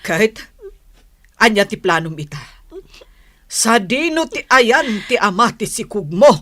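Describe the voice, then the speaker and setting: A woman's voice: mid-range voice, very animated, a little slow, fairly bright tone, dry recording. An actress playing a stern, scolding middle-aged mother in an Ilocano radio drama. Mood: stern questioning.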